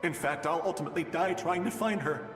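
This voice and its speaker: Casting: male actor as weary father of a lost age, slightly high voice, halting and resigned, game drama, long hall reverb. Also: natural voice. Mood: troubled. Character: weary doomed father from an ancient age, searching for his daughter. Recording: echoey hall reverb, music behind. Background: music.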